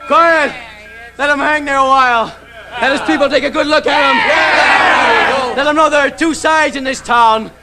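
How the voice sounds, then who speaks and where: An actor playing a swaggering, bullying mob leader in a Western town, goading in folksy rural speech, on a noisy old drama soundtrack. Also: male voice, extremely high pitch, normal speed, pauses often, over a noisy crowd. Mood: rabble-rousing.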